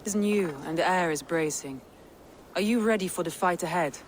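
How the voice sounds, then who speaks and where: A woman's voice: on the low side, moderate pace, slight background noise, close to an everyday voice. A woman playing a resolute female Viking jarl in a dramatic video game, speaking in a declamatory way. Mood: proud, warm. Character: proud, commanding female Viking jarl, warm to her warriors.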